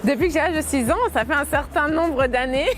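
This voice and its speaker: A woman in her late twenties, a dancer, talking casually and hesitantly in an interview, with many fillers and soft laughs. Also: sits high, normal speed, music in the background. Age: late 20s.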